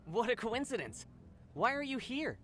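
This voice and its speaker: An actor playing an enthusiastic, dramatic young male student in a video game, excitable in manner. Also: very high-pitched, moderate pace, a little hiss or hum, heavily acted. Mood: excited.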